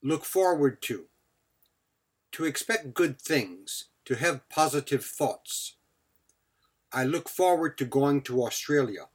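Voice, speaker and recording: Slow-paced man teaching vocabulary in short phrases. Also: medium pitch, expressive, breathy edge. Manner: short, clipped phrases with example sentences. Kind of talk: scripted vocabulary lesson.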